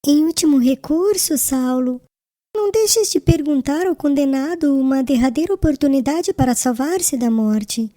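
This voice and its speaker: Woman acting a gentle young bride, high soft-toned voice. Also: expressive, moderate pace, no echo, studio quality. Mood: gentle pleading. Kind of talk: dramatized audiobook dialogue.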